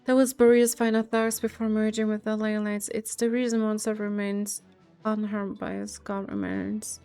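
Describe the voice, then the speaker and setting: A woman's voice: medium pitch, expressive, moderate pace, mellow, smooth, soft background music. A woman game streamer giving casual playthrough commentary and reading dialogue aloud, musing and reactive.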